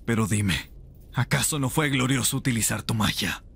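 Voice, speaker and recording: A man voicing a chained rebel mage in an ASMR roleplay, intense, seductive and tender, with a breathy, gravelly voice. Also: mid-range voice, moderate pace, a bit bright, pitched above the performer's own voice, heavily acted. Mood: tender, coaxing.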